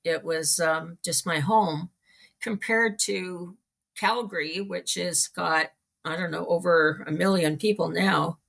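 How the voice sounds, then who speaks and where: A middle-aged Canadian woman with a low, gravelly edge talks as an interview guest. She tells her story casually and very slowly, pausing often to find her words. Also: fairly bright tone. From small-town Manitoba, Canada.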